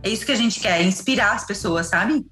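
A woman's voice: medium pitch, brisk, fairly bright tone, faint music behind. A woman, a guest in a casual interview, talking earnestly and enthusiastically and often ending with "sabe?".

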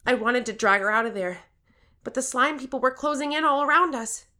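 A woman voicing an earnest, expressive 13-year-old game-show contestant who narrates their own story in an audio drama. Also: high-pitched, brisk. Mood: uneasy.

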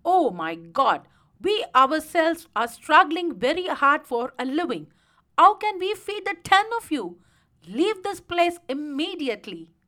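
A woman's voice: mid-range voice, big pitch swings, measured pace, hardly any pauses, pitched below the performer's own voice. A woman voicing a woodcutter's exasperated, hard-pressed wife in a storybook, scolding and complaining sharply. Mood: outraged.

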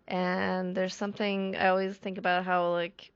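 A woman from Iran, a native Farsi speaker, talking reflectively and pausing to search for her words. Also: fairly low pitch, level tone, dry recording, muffled recording. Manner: thoughtful, searching for words, many restarts. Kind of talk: reflective personal monologue.

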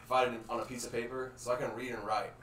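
A man's voice: mid-range voice, level tone, fast talker, fairly bright tone. A young man in casual chat with friends, joking and poking fun at himself. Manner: self-mocking, joking. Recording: roomy, distant mic, faint hiss.

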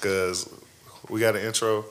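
A man co-hosting a podcast, telling stories in animated, slang-heavy casual banter. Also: on the low side, unhurried, a little hiss or hum. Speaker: podcast co-host.

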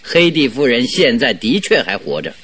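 A man's voice: mid-range voice, big pitch swings, moderate pace, a bit bright, slightly rough. A man playing a shrewd, amused master detective in a radio drama, probing and teasing as he talks. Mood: teasing, confident. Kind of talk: Chinese radio drama acting.

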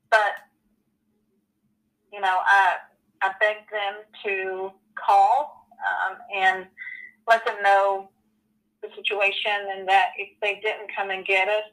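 A woman in her forties telling her personal story as an interview guest, earnest and halting, with many ums and you-knows. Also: mid-range voice, flat delivery, fairly bright tone, low-quality recording. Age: 40s.

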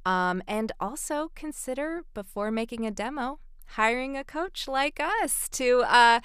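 A woman's voice: fairly high pitch, expressive, measured pace, a bit bright, clear, resonant tone, no room sound, studio quality. A woman hosting a podcast and giving informative, casual advice as a coach. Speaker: podcast host, voice acting coach.

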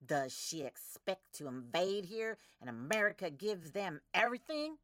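A woman's voice: lowish voice, sing-song, moderate pace, fairly bright tone, no room sound, clean studio sound. An actress playing a commanding adult woman of cold authority in an audio play, speaking in emphatic declarations. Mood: menacing, contemptuous.

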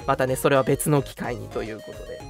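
A man's voice: mid-range voice, fast, vocal fry, faint music behind. A young man hosting an online radio show, making announcements in a polite, earnest, conversational way. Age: young adult.

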